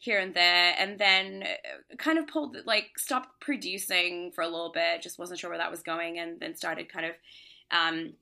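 A young woman with a low voice full of gravelly fry and a bright, forward tone. She talks as a relaxed interview guest, easygoing and quick to laugh, filling pauses with ums and you-knows. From Australia and Singapore. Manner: relaxed, laughs often, many fillers. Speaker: DJ and producer guest. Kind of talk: casual interview chat.

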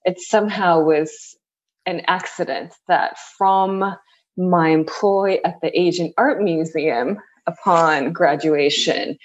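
A woman's voice: lowish voice, slow pace, lo-fi sound. A woman in her forties from Southern California answers interview questions in a wry, self-deprecating way. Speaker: guest.

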